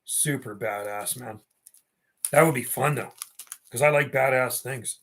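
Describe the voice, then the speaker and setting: A man's voice: medium pitch, measured pace, creaky, fairly bright tone. A middle-aged man rambling casually on a livestream, talking in a slangy, reminiscing way. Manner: slangy, reminiscing, often ends phrases with "man". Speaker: livestream host.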